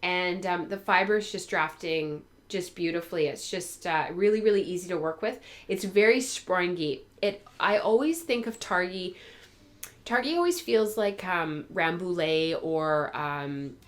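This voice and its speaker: Low-pitched woman chatting, slightly worn by a cold. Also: unhurried. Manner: informal, rambling, thinking aloud. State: getting over a cold, voice tiring. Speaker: host of a spinning and fibre craft show. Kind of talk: casual chat to viewers.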